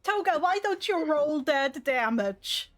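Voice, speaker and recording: A woman's voice: sits high, animated, takes it slowly, soft background music. A woman acting as game master, narrating and refereeing a tabletop role-playing game.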